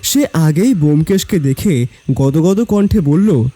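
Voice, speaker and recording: A man's voice: on the high side, expressive, unhurried, mellow. A man narrating a scripted story in a dramatic storytelling style. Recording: background music.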